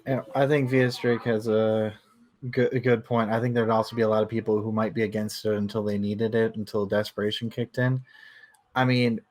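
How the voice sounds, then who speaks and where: Low, even-toned man talking books. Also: brisk. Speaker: book club discussion host. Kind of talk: casual book discussion.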